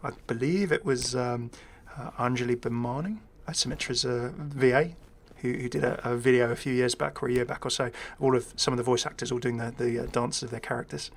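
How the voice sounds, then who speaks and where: A chatty male gaming host with a medium-pitched voice talks casually off the cuff. He thinks aloud, hesitating as he goes, and slips in dry, wry asides. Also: steady tone, fairly quick, few pauses, slight background noise. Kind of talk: casual unscripted video game commentary.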